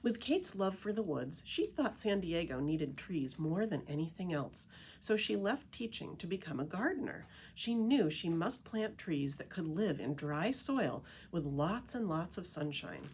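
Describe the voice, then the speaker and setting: A woman reading a children's picture book aloud as a storyteller, with expressive, deliberate emphasis on key phrases. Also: fairly low pitch, slight background noise.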